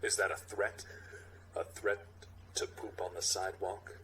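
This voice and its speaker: Casting: unflappable male buddy cop, read by a male podcaster, deadpan and understated, cop-show spoof. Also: low-pitched, unhurried, slight background noise, pitched below the performer's own voice. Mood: cool challenge.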